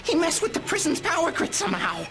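An actor playing a terrified male lowlife informant in a video game, stammering, whining and pleading. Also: huge pitch swings, quick pace, full character voice. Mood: nervous whining. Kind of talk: video game cutscene acting. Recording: loud music behind the voice.

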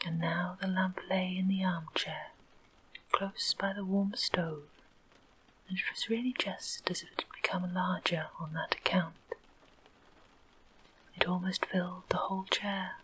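A woman reading a fairy tale aloud in a soft, steady whisper, in the style of ASMR. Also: on the low side, measured pace, long pauses, husky, poor audio. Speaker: storyteller.

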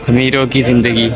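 Young man vlogging on a phone. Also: mid-range voice. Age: young adult. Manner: chatty, excited, talks to viewers as he walks. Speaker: travel vlogger. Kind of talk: casual walking tour vlog. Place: outdoors in a busy city plaza and mall. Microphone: handheld phone at arm's length.

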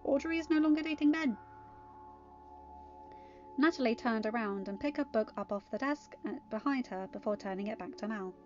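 A woman's voice: on the high side, normal speed, long pauses, dull audio, faint music behind. A woman narrating fan fiction as an amateur audiobook reader.

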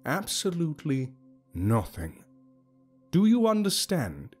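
Storybook elder, played by a male narrator: grand and cheerful, raised pitch, slow ceremonial oratory, music underneath. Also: wildly swooping pitch, heavily acted. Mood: earnest. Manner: slow, oratorical, emphatic delivery. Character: grand, cheerful old leader addressing his gathered tribe.

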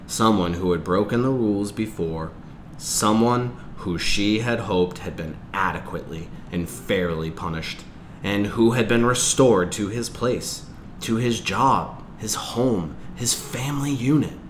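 A man reading a novel aloud as a teacher, in measured, expressive storytelling narration. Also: on the low side. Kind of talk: audiobook-style read-aloud narration. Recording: clear background noise.

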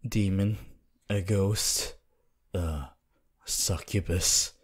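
A man playing a laid-back, teasing, sceptical teenage anime boy in an intimate, halting ASMR roleplay. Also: deep voice, fairly even intonation, breathy edge, full character voice. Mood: amused, sceptical. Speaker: voice actor.

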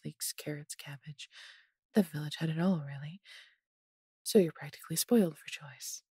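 A woman voicing a large, possessive but doting female dragon in an intimate fantasy audio roleplay, coaxing and teasing in a breathy, husky voice. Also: low voice, darkish tone, dry recording, studio quality, heavily acted.

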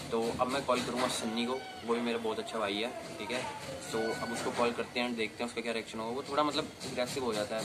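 A young man chatting casually as a vlogger, recorded outdoors on a camera mic. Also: mid-range voice. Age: young adult. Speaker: vlogger making prank calls. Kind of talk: casual chat. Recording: noisy amateur outdoor recording.

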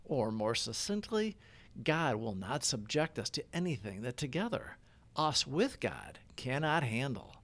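A man's voice: on the high side, vocal fry. A man hosting a recovery channel, reading prayers and daily reflections as scripted devotional narration.

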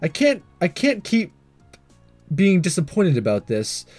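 Casting: man reading self-conscious teen schoolboy, earnest anime game inner monologue, slightly high and expressive, music behind. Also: a little slow, full character voice. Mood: melancholy musing. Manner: earnest, fretful. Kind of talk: reading visual novel aloud.

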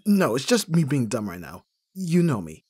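Young male actor as flustered lovestruck best friend for ASMR roleplay: very husky, breathy, swinging pitch, halting. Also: wildly swooping pitch, a little mellow, dry recording, studio quality. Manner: hesitant, stammering, sighing delivery. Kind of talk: ASMR roleplay acting, intimate and conversational.

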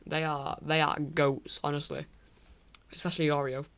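Croaky teen lad from england, rambly, keeps saying sorry, late night desk mic. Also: male voice, on the high side, little pitch movement, moderate pace, fairly bright tone. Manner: rambling, apologetic, lots of restarts. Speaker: YouTuber. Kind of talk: casual tier list commentary.

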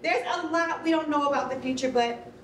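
A woman's voice: sits high, fairly quick, fairly bright tone. An actress playing a loving, comforting mother in a staged children's storybook reading, speaking tenderly and sincerely. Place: concrete rehearsal hall, standing at music stand. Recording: distant roomy stage recording, faint noise.

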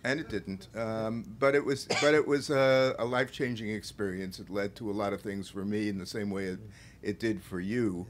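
An older man from Brooklyn in the audience, speaking in a measured, deliberate way at a standing mic in a bookstore. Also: fairly low pitch, steady tone. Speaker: audience member asking a question. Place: bookstore event space.

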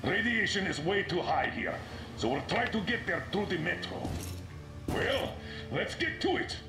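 An actor playing a gruff older colonel in a video game, terse, commanding and grave. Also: male voice, high-pitched, sing-song, measured pace, roomy sound, over music, exaggerated voice. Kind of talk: dramatic video game acting.

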